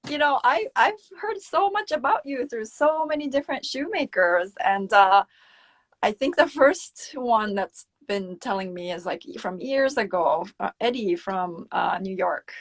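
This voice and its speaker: A woman's voice: a little high, expressive, a little slow. A woman hosting a casual interview over a video call, asking questions and cheering her guest on. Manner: brief affirming back-channels. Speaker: interviewer and host.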